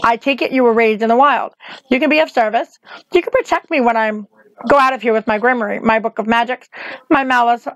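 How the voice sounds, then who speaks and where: A woman's voice: brisk, dry recording, natural voice, rough recording. A woman plays a storybook witch, imperious and bitterly sardonic. Her delivery is commanding and menacing with a weary edge, recorded close and dry. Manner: low, even, commanding. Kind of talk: audiobook character acting.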